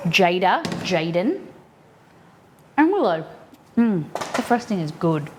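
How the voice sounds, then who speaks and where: A woman's voice: fairly low pitch, very animated, slow pace, background noise. Chatty woman streamer in her kitchen. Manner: chatty, reads trivia aloud to viewers. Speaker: streamer host. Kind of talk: casual live-stream chat.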